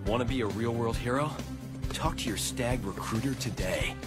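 A man's voice: medium pitch, moderate pace, loud music behind the voice, natural voice. A man playing a vain, dim young TV vampire actor, self-important and theatrical. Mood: pompous.

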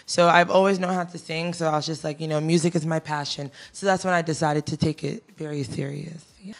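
A woman's voice: deep voice, steady tone, brisk, gravelly. A young woman singer from Ghana, raised in America, casually answering interview questions in a studio with a handheld mic. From Ghana, raised in the United States. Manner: easygoing, many fillers like "you know" and "um". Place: studio with a teal backdrop. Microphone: handheld mic close to the mouth.

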